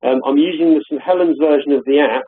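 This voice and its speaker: A man giving a hesitant, filler-heavy software demonstration on a live call from his home office. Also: on the high side, quick pace, breathy edge, phone-quality sound. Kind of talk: live webinar product demo. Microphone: call audio.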